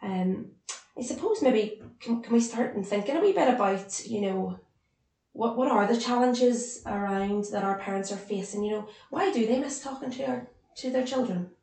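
A woman's voice: a little high, moderate pace, warm tone, slight background noise. A woman, hosting a podcast and welcoming her guests as she steers the talk. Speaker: podcast host and service lead. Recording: echoey room.

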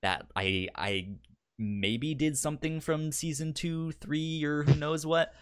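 A man's voice: a little high, slow pace, clean tone. A man co-hosting a podcast in casual discussion, stuttering often as he repeats sounds and syllables. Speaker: podcast co-host.

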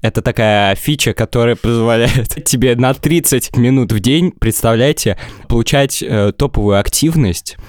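A man's voice: mid-range voice, normal speed, no room sound. Young man, hesitant rambling talk. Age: 20s. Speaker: podcast co-host. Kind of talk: casual comedic podcast chat.

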